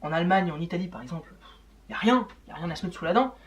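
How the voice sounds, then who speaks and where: A man's voice: sits high, lively intonation, very fast, slight background noise. A young man, chatting informally as the host of a football show. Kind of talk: casual unedited football chat.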